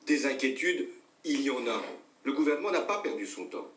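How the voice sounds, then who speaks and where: A man's voice: mid-range voice, expressive, a little fast, a bit bright, echoey room, far from the mic. A man making a public statement in short, firm, emphatic phrases. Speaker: politician in a news clip.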